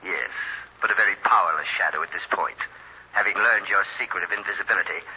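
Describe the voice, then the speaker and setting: An actor playing a menacing, megalomaniac professor with a formal, gloating delivery in an old-time radio drama. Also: male voice, deep voice, fast talker, bright, forceful tone, slightly rough, exaggerated voice. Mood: smug menace. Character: villainous professor, megalomaniac murderer posing as a vigilante. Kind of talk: 1940s radio drama acting. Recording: muffled old radio recording.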